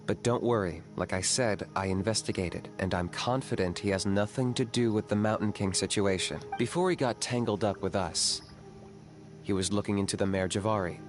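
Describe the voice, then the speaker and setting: An actor playing a calm, pragmatic young male hunter who speaks evenly and measuredly. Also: fairly low pitch, over music, close to an everyday voice. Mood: explaining. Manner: calm, measured, even-tempered.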